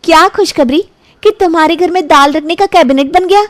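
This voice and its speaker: Woman acting a high-pitched, sulky young girlfriend. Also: fairly quick, dry recording, studio quality. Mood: sulky, sarcastic. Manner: petulant, accusing. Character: sulky, suspicious young woman scolding her boyfriend. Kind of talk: animated storybook dialogue.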